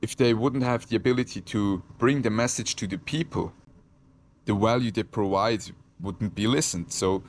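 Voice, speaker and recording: A man with a Swiss German accent speaks to camera in a low, deep voice, pausing and restarting as he hunts for the right English words. His tone is reflective and unhurried, like a personal vlog. A faint hum of room ambience sits behind him. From Switzerland. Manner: hesitant, searching for words, frequent restarts. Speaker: content creator. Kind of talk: reflective casual talk to camera.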